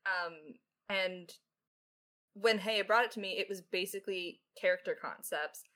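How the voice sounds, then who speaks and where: Young woman from Canada, medium pitch, playful writer and co-host in informal Q&A. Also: fairly even intonation, unhurried, bright, forceful tone. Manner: playful, joking. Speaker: podcast lead writer and co-host. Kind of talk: casual creator Q&A chat.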